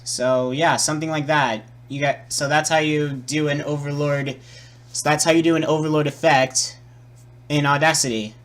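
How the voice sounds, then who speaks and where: A man presenting a casual, improvised software tutorial, muttering and repeating himself as he works. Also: a little high, little pitch movement, normal speed. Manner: hesitant, muttering, repeating words while working.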